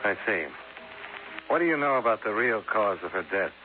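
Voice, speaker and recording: Man acting hard-boiled American investigator, low dry voice, sardonic, clipped, old radio drama, tinny band, heavy hiss. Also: lively intonation, moderate pace, lightly acted. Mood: pressing, skeptical. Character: hard-boiled male insurance investigator, wry and dogged. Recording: noisy, tinny 1950s radio recording.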